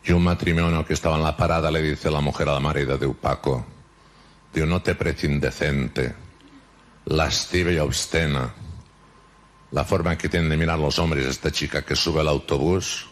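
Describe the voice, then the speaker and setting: A man's voice: extremely low pitch, steady tone, normal speed. A man telling jokes in a dry storytelling manner, peppering them with repeated asides. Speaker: stand-up comedian. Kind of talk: joke-telling monologue.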